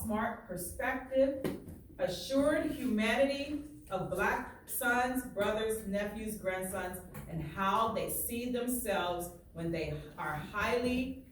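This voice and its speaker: Slow, echoey woman reading aloud as a teacher. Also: mid-range voice. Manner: explanatory, pausing to check understanding. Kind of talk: read-aloud with plain explanations and check-in questions. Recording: very echoey room, distant, faint noise.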